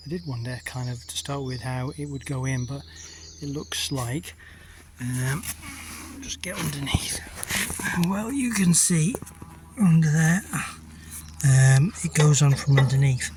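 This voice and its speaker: A man's voice: mid-range voice, a little slow, noisy background. Chesty man talking through a repair. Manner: thinking aloud, hesitant with frequent ums. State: a bit chesty after illness. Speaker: farmer and video maker. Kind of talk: casual talk-through of a repair.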